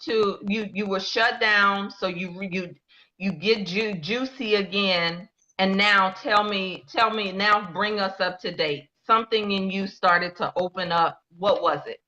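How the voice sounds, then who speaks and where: A woman's voice: mid-range voice, unhurried, sharp tone, dull audio. A woman hosting an interview, who keeps affirming and encouraging her guest. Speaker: interview host. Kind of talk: casual interview.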